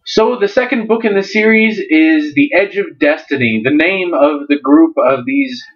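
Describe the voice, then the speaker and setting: A man talks casually as a reviewer in a slightly dull, lo-fi recording. His delivery is halting and conversational, as he searches for the next word. His pitch is ordinary for a man. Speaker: video host and book reviewer. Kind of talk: casual review talk with dramatic book readings.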